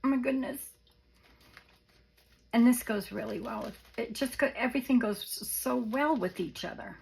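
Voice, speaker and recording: A hoarse woman showing off craft supplies in a casual show-and-tell, gushing softly and often dropping to a whisper. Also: on the high side, moderate pace, poor audio. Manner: soft-spoken, gushing, often drops to a whisper. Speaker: craft vlogger.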